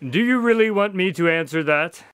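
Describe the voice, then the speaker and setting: A man's voice: high voice, lively intonation, a little fast, fairly bright tone, clean tone, no echo, clean studio sound. An actor playing a polite, wry, long-suffering doctor who tells his story in a radio drama, formal and good-natured. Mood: wary, wry.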